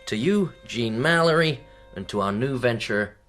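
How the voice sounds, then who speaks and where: An actor playing a smooth-talking, flirtatious male investor in a radio drama, familiar and coaxing. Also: mid-range voice, lively intonation, normal speed, a bit bright, soft background music, lightly acted. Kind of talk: BBC radio drama acting.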